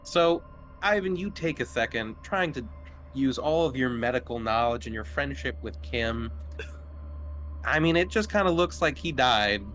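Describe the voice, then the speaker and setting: A man's voice: medium pitch, expressive, normal speed, fairly bright tone. A man narrating a tabletop horror game as game master, conversational and descriptive. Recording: noticeable background noise.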